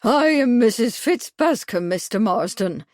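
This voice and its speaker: A woman voicing a bustling, shrill, strident committee lady who talks in a brisk, impatient way. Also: animated, no room sound, studio quality.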